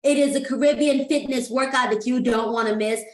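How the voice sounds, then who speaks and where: A woman's voice: high voice, steady tone, brisk, a little mellow. A woman hosting an interview, chatty and enthusiastic as she asks her questions. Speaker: host. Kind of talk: casual interview. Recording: roomy echo.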